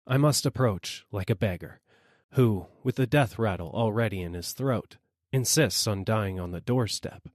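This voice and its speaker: A man's voice: on the low side, moderate pace, no room sound, clean studio sound. A man reading a literary story as a dramatic audiobook narrator.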